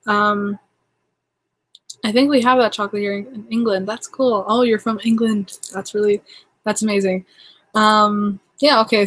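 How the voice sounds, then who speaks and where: A woman's voice: mid-range voice, dry recording, poor audio. A young woman from Toronto with a Canadian accent, casually chatting on a livestream, rambling with lots of fillers and restarts. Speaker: livestream host.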